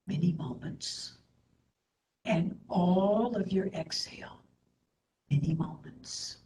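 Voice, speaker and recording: A woman instructor leading a guided meditation in a soothing, gentle manner, with hushed phrase endings. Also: fairly low pitch, takes it slowly. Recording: roomy echo, faint background noise.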